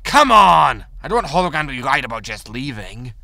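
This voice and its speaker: A man playing a greedy, hapless spaceship scavenger who mutters excitably to himself in a sci-fi comedy audio drama. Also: mid-range voice, brisk, vocal fry, exaggerated voice. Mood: frustrated. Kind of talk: science fiction comedy audio drama acting.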